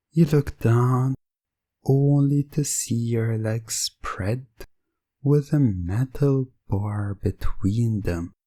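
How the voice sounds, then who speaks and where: A man narrating a scripted second-person ASMR roleplay in a deliberate, suspenseful way, breaking sentences into short phrases. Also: fairly low pitch, mellow, slightly rough, dry recording. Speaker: hypnosis audio narrator.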